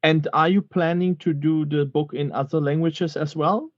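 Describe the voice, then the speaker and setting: Host: man, slightly high voice, hesitant and stumbling, muffled lo-fi audio. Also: steady tone, normal speed.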